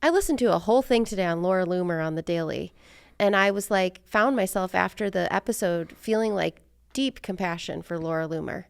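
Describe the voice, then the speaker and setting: A woman's voice: lowish voice, a little fast, gravelly, clear, resonant tone, dry recording, studio quality. A woman hosting a casual, reflective interview, earnestly thinking aloud and searching for her words. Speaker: podcast host, interviewer.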